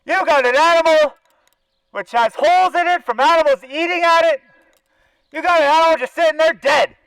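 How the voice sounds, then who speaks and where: A man's voice: extremely high pitch, normal speed, a bit bright, no echo, rough recording. A man with a Canadian accent delivers an indignant, sarcastic, sweary protest rant as a confrontational activist, through a bullhorn outdoors. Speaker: animal-welfare activist. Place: outdoors by a zoo fence.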